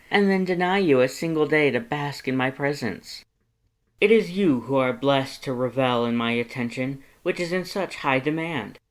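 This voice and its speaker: Man putting on a loud, pompous skeleton lord. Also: mid-range voice, voice pushed up, close to an everyday voice. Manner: pompous, declamatory, flowery formal speech. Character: haughty, grandiose male skeleton lord, vain and theatrical. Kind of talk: theatrical storybook character acting.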